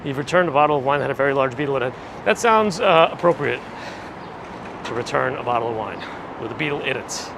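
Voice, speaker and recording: Man, live-stream host, casual chat, slightly gravelly, phlegmy, walking outdoors, loud street traffic behind. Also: mid-range voice, quick pace. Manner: relaxed, chatty, dry asides. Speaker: livestream host. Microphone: handheld gimbal camera rig with recorder. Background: traffic and city ambience.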